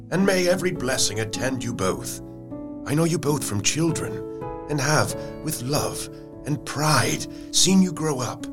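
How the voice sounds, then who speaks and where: A sentimental old benefactor in a period radio play. He speaks formally and with fatherly fondness at an ordinary male pitch, with prominent music behind him. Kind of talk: audio drama acting.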